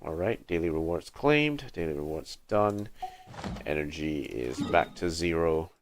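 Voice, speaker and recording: A man's voice: extremely low pitch, lively intonation, unhurried, noisy background. A man livestreaming a video game with casual commentary, thinking aloud in short remarks. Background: game music.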